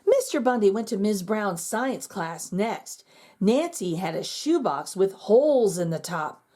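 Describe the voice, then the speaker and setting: A woman reading a children's picture book aloud in an expressive, sing-song storytelling manner. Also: medium pitch, unhurried. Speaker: children's book reader, channel host.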